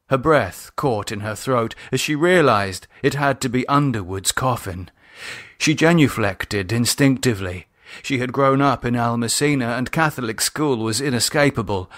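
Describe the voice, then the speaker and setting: A man's voice: medium pitch, fairly bright tone, no room sound, clean studio sound. A man reading a horror novel as its author and narrator.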